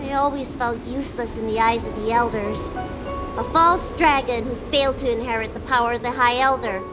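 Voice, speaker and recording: A woman's voice: on the high side, steady tone, a little fast, lightly acted, rough recording. A woman voicing a young girl dragon healer in a game, spirited but burdened. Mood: wistful, earnest. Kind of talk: video game voice acting. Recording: loud music behind.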